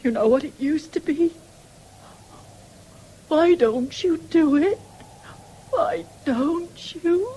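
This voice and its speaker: An actress playing an ailing, stubborn farm wife with an English accent in a 1970s radio drama, weak and insistent. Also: female voice, high-pitched, expressive, slow pace, pauses often, warm tone. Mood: fretful, demanding. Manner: insistent, repeating demands. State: weak and failing. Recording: hissy old radio broadcast recording.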